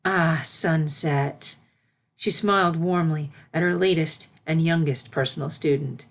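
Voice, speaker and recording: Woman reading a storybook out loud, voice a bit on the low side, narrator style. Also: moderate pace. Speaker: narrator, fan fiction reader.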